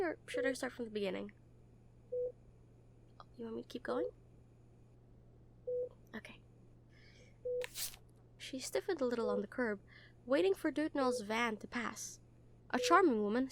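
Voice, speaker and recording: A woman's voice: fairly high pitch, slight background noise, lightly acted. A woman playing a kind, earnest nurse in an audio roleplay, talking to a patient in a hesitant, reassuring, conversational way. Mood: soothing, playful, then calm reading. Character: kind, earnest, gently teasing hospital nurse comforting a patient. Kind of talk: roleplay audio acting, soft comfort talk.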